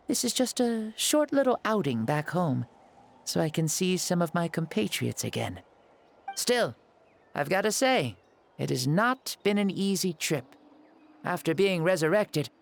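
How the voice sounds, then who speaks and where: An actor voicing an ancient hero reborn in a boy's body for a video game, wry and easygoing, moving between solemn and playful. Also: mid-range voice.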